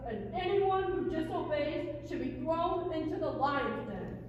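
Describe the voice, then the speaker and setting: A woman's voice: high-pitched, animated, unhurried. A woman playing a stern, impatient, scheming royal advisor in a scripted puppet play. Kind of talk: scripted church puppet show acting. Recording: very echoey hall, distant, muffled, noisy.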